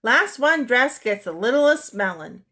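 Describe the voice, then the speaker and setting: A woman's voice: fairly high pitch, sing-song, a little slow, sharp tone, pitched above the performer's own voice, exaggerated voice. A woman voicing an easygoing, playful father in a storybook. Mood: mild. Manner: mild, playful. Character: mild, easygoing father, jovial.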